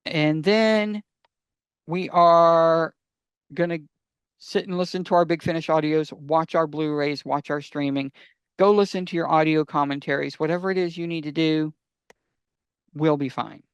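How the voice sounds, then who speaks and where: A man's voice: a little high, steady tone, a little slow, clear, resonant tone, no echo. A man chatting casually as a podcast guest, making emphatic points in short, restated phrases. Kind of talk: casual chat.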